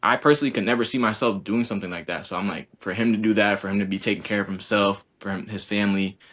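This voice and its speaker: A young man in his twenties with a deep, low-set voice. He chats casually and earnestly, stringing thoughts together with endless "like"s and restarts. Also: steady tone, quick pace, fairly bright tone. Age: 20s. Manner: rambling, heavy use of "like", earnest. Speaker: college basketball player, guest. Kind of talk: casual podcast conversation.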